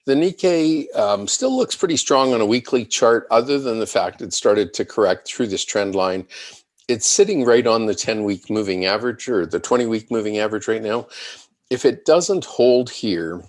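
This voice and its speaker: A man giving unscripted analyst commentary on charts, measured and explanatory, thinking aloud as he presents. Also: lowish voice, animated, no room sound. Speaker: financial market analyst presenting.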